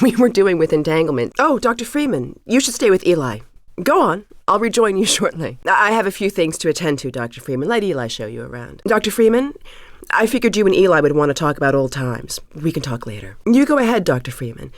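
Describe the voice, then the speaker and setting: A woman's voice: low voice, dry recording, studio quality. An actress playing an earnest, composed middle-aged woman physicist in a video game, speaking precisely and a little formally. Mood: polite warmth. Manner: precise, articulate, slightly formal. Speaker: scientist character in a video game. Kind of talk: scripted video game voice acting.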